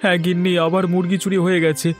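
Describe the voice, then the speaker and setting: A man's voice: sits high, flat delivery, quick pace, soft background music, close to an everyday voice. A man voicing an anxious, plaintive village husband in a cartoon story. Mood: wailing complaint. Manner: plaintive, imploring. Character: anxious, pleading village husband, childless chicken farmer.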